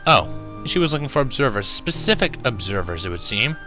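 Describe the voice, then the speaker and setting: Animated, bright-voiced man narrating a story. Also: mid-range voice, fairly quick, soft background music. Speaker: fan fiction reader.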